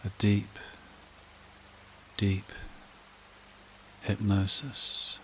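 A man leading a guided meditation and hypnosis in a hushed, soothing, repetitive way with long pauses. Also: lowish voice, completely flat delivery, a little hiss or hum.

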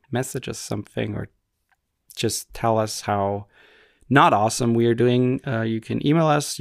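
A man's voice: fairly low pitch, creaky, no echo, clean studio sound. A man from Austria co-hosting a podcast, chatting casually in a rambling, self-mocking way. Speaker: podcast co-host. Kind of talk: casual chat.

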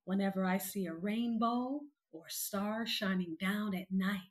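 A woman hosting a podcast, delivering scripted announcements and poetry in a deliberate, expressive way. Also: fairly high pitch, breathy edge, no echo. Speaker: podcast host. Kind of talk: scripted show sign-off and poetry recitation. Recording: music bed under the voice. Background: music.

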